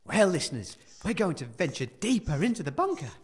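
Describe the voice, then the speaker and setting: A man's voice: on the high side, sing-song, fairly quick, slightly rough. A man playing a naive, eager radio presenter in a scripted audio comedy, earnest and a little hesitant. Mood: cheerful, curious. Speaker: radio host. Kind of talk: scripted audio comedy, live-broadcast narration.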